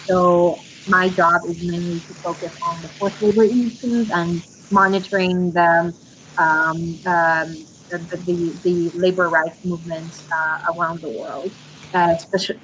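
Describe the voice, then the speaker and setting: A woman's voice: on the low side, a bit bright. A woman in her twenties answers interview questions over a video call. She speaks earnestly, with frequent hesitant ums. The audio is a little dull and lo-fi, with a faint hum underneath. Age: 20s. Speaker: interview guest.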